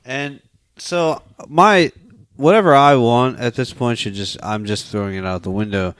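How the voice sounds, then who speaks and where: A man's voice: medium pitch, normal speed, fairly bright tone, smooth, no room sound, clean studio sound. A man co-hosting a film podcast, talking casually with slangy, profane wording.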